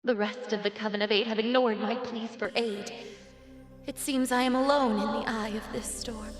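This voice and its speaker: A woman playing a solemn, soft-hearted empress in a video game cutscene, speaking in formal, stately phrases. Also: on the high side, steady tone, normal speed, natural voice. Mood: solemn, resolute. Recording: music behind the voice.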